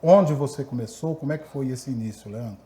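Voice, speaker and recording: Mellow-voiced Brazilian TV interviewer. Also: male voice, medium pitch, fairly quick, a little hiss or hum. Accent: Brazilian Portuguese. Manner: leading questions with tag "né". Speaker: TV sports show host.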